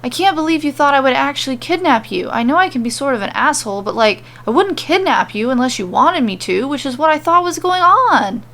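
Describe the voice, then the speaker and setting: A woman's voice: sits high, expressive, a little fast, few pauses, fairly bright tone, pitched above the performer's own voice. A woman voicing a nervous, frustrated man, a bumbling kidnapper in an audio story, hesitant and slangy. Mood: frustrated, pleading.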